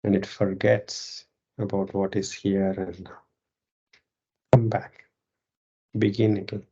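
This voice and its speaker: Slow, gravelly-edged meditation teacher. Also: sits low. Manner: calm, measured, pausing between short phrases. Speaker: yoga teacher leading meditation. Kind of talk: guided meditation instruction. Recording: dull, muffled lo-fi recording.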